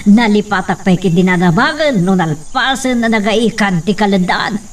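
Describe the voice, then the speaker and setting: A woman's voice: medium pitch, lively intonation, moderate pace, distant mic, natural voice. A woman acting a stern, commanding figure in an Ilocano audio drama, speaking with an Ilocano accent. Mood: stern, demanding.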